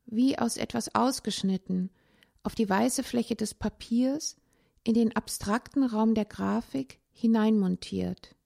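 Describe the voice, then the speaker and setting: A woman reading a literary essay aloud in a measured, contemplative way, with pauses between phrases. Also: fairly high pitch, vocal fry, mellow. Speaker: narrator, language teacher.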